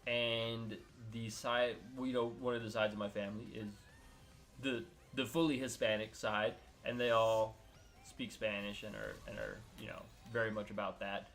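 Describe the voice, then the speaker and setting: A man's voice: fairly low pitch, measured pace, a bit bright, soft background music. A young man from Miami chatting casually as a co-host, rambling with lots of filler words and restarts. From Miami, United States. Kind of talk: casual chat.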